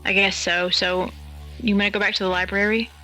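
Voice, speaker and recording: Woman acting blunt skeptic, bright crisp-edged voice. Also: medium pitch, flat delivery, a little fast, soft background music, lightly acted, low-quality recording. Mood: skeptical, sardonic. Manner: blunt, sardonic. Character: pragmatic, skeptical, exasperated woman in a mystery. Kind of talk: naturalistic audio drama dialogue.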